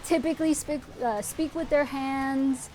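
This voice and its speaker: Chatty young woman, fairly high voice. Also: fairly even intonation, unhurried, mellow, a little hiss or hum. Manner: upbeat, chatty, lots of "like". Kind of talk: casual livestream chat.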